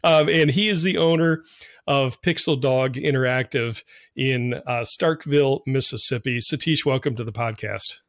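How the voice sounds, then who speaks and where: A man's voice: medium pitch, normal speed, fairly bright tone, no room sound. Upbeat chatty guy hosting a podcast, mid voice, dry close sound, laughs a lot. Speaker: podcast host. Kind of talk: casual podcast interview.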